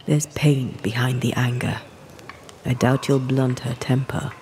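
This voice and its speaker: A woman's voice: a bass voice, steady tone, measured pace, mellow, slight background noise. An actress playing a wry, perceptive ghostly woman companion in a game cutscene, dry and knowing. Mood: wry, observant. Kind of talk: dramatic game cutscene acting.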